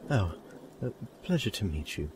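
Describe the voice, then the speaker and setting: Man acting a low-voiced, polite innkeeper. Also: sing-song, moderate pace, darkish tone, slight background noise. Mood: businesslike, bemused. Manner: polite, businesslike, mildly hesitant. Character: polite, slightly bemused male tavern keeper. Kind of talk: fantasy audio drama acting.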